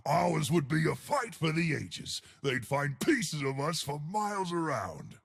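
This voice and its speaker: An actor voicing a huge, friendly, blustery stone gargoyle in a video game, with boastful, simple wording and a gravelly voice. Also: male voice, on the high side, very animated, a little slow, full character voice. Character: huge friendly stone gargoyle colossus, blustery, dim, big-hearted protector. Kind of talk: video game character voice lines.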